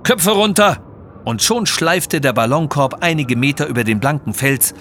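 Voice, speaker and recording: A man's voice: medium pitch, moderate pace, fairly bright tone, slight background noise. A man reading scripted narration for an adventure audio story.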